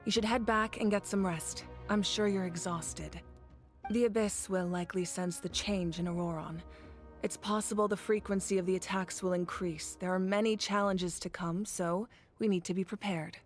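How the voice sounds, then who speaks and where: Actress as dutiful female leader, medium pitch, composed, authoritative, formal video game drama, faint music behind. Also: steady tone, normal speed, close to an everyday voice. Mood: calm, concerned.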